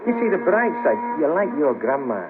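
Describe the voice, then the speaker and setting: A man's voice: on the high side, very animated, brisk, narrow, tinny audio, over music. An actor playing a world-weary, scheming ex band manager, down on his luck, in a colloquial 1970s radio drama. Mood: weary, reminiscing. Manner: wry, persuasive, colloquial. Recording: patchy noisy cassette of 1970s radio broadcast.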